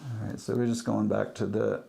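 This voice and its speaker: A man narrating his work casually as he goes, step by step, in short, halting phrases. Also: lowish voice, level tone, creaky, warm tone. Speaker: livestreaming audio producer.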